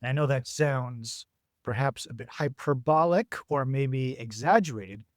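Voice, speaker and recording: A man who is a guest author, speaking hoarse and gravelly while getting over an infection, explaining his ideas in a measured, casual podcast interview. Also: mid-range voice, dry recording, studio quality.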